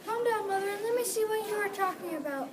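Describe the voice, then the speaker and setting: A woman's voice: very high voice, quick pace. A female reader playing an eager, studious young daughter in a readers theatre play. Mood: excited. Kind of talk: readers theatre, reading from a script. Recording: distant mic, loud noisy room chatter.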